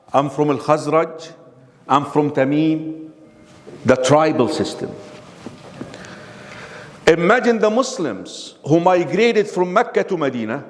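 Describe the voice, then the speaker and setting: A man giving a classroom lecture on religion, constantly quizzing his audience with questions. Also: fairly high pitch. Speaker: Islamic lecturer. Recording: long reverb of a hall.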